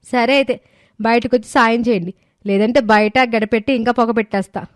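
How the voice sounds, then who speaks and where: A woman's voice: a little high, steady tone, a little fast, dry recording, clean studio sound, close to an everyday voice. A woman voicing a clever, persistent young daughter-in-law in a moral story, respectful but insistent. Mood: scheming. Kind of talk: dramatised moral story acting. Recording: music behind the voice.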